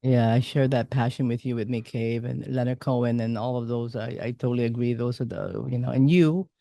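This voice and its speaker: A male interview host with a mellow, mid-pitched voice speaks eagerly and with open admiration. The recording is dry and close, with no room sound. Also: steady tone, fairly quick, gravelly, dry recording. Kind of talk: casual interview chat.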